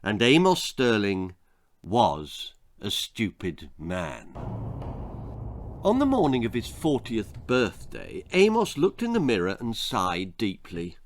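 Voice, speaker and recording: A man reading a darkly comic children's story in a theatrical, deliberate way, as its author and storyteller. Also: on the low side, wildly swooping pitch, a little hiss or hum.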